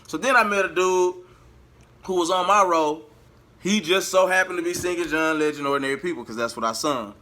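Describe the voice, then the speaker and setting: Bright-voiced man telling a story. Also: fairly high pitch, a little fast. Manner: animated, slangy, acts out quoted dialogue. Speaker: vlogger and amateur singer. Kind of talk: casual storytelling to viewers.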